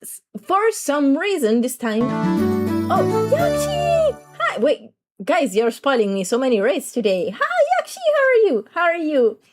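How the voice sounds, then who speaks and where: Slightly raspy woman rambling on stream. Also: high-pitched, huge pitch swings, measured pace, over loud music. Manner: rambling, chatty, greets viewers. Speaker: VTuber streamer. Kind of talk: casual livestream chat.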